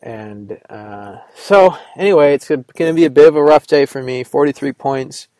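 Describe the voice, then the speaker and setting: Guy rambling solo with lots of ums, dry deadpan sarcasm, just over a cold, very dry mic. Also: medium pitch, normal speed, no echo. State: recovering from a cold. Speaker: podcast host. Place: Vermont.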